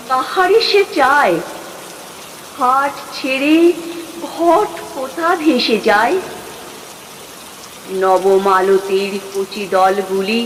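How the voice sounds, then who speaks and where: Very slow woman reciting with long reverb. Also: on the high side. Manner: dramatic, drawn-out phrasing with deliberate pauses. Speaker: poetry reciter. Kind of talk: expressive Bengali poem recitation. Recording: long hall reverb, clear background noise.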